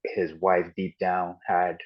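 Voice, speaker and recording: A man raised in Ghana and the United States, a podcast guest recounting his childhood in a halting, reflective way with frequent restarts. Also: low-pitched, monotone. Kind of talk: personal storytelling in an interview. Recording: dull lo-fi audio.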